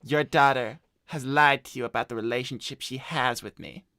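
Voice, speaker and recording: A man's voice: mid-range voice, normal speed, no room sound, studio quality. A man voicing a cocky, laid-back cartoon hedgehog who chats in slang on an improvised livestream. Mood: casual, sympathetic. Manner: slangy, swearing, deadpan bragging. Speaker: cartoon character co-hosting an advice livestream. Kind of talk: improvised in-character chat, reading donor messages.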